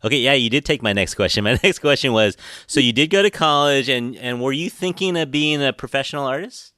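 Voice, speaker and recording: A man's voice: mid-range voice, expressive, a little fast, pushed and bright, no echo, clean studio sound. A man from the United States hosting a podcast, chatting casually and laughing often. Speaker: podcast host. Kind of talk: casual interview chat.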